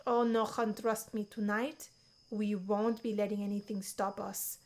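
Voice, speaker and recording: A woman's voice: mid-range voice, little pitch movement, unhurried, a little mellow, close to an everyday voice. A woman voicing a confident, teasing young college woman with a sly, theatrical manner in a visual novel. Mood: sassy, flattering.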